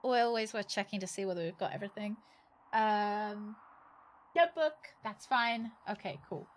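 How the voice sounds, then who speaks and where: A woman's voice: mid-range voice, normal speed, a little hiss or hum. A woman game streamer giving casual, playful live commentary, thinking aloud and exclaiming as she plays.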